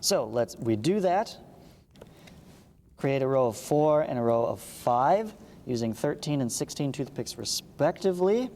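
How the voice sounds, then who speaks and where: Mellow male math teacher in a reverberant space. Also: mid-range voice, animated, a little slow. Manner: explanatory, checks understanding with short asides. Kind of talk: read-along lesson with casual asides. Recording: long reverberant echo, clearly noisy background.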